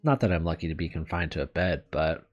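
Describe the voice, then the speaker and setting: A man's voice: deep voice, expressive, fast, muffled recording, voice pushed down. A man voicing an anxious, self-doubting young woman teacher sick in bed in a visual novel, hesitant and self-pitying, with music behind. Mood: glum, apologetic. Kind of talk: visual novel read aloud. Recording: background music under the voice.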